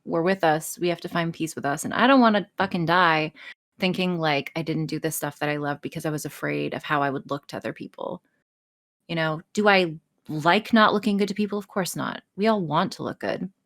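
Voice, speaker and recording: A woman's voice: sits low, a little fast, fairly bright tone. A woman chatting casually as a podcast guest, blunt and emphatic, swearing freely. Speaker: podcast guest, audio roleplay artist. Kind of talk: casual, profane interview chat.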